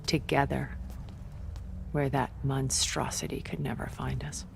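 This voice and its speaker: A centuries-old immortal woman from a video game drama, speaks low with a gravelly creak. She is measured and grave, weary yet possessive, coldly persuading. Faint noise and ambience sit behind her. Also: flat delivery, full character voice. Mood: solemn resolve.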